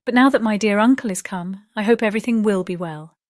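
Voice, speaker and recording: A woman voicing a gentle, dutiful young lady in an audiobook, composed and earnest. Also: mid-range voice, fast, no echo, clean studio sound, natural voice. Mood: hopeful, earnest. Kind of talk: dramatized audiobook character reading.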